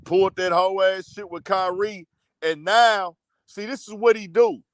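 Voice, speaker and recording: A man hosts a casual livestream commentary show and rants, blunt and profane. His voice sits high and comes through smooth and clear. It is recorded close on a dry mic with no room sound. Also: fairly even intonation, normal speed, no room sound. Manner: blunt, profane, heated rant.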